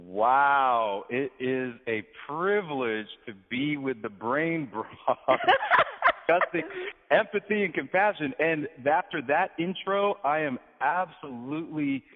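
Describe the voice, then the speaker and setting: A man who is a guest on a radio show, talking in an upbeat, gracious and expressive way. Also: medium pitch. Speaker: interview guest. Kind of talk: casual radio interview chat. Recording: tinny phone line with long reverb.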